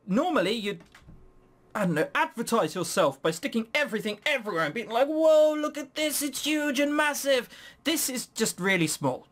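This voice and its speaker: A man's voice: extremely high pitch, expressive, normal speed, a bit bright. A man hosting a gaming video, reading game text aloud and commenting on it casually with sarcastic, incredulous, rambling asides.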